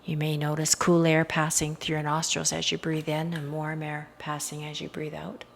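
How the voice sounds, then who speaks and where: Low-voiced woman guiding meditation, gravelly fry. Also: completely flat delivery, moderate pace, slight background noise. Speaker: guided meditation guide. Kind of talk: guided meditation, hypnotic induction.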